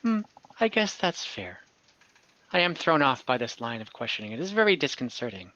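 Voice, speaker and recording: A man voicing a prim, guarded town clerk who questions the players slowly and carefully. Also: mid-range voice, sing-song, bright, forceful tone, dry recording, highs cut off. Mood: offended.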